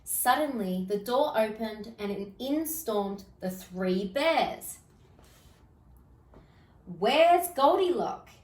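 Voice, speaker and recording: Slow-paced woman reading a children's story. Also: medium pitch, lively intonation, echoey room, distant mic, poor audio. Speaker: storybook reader. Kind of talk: expressive children's story read-aloud.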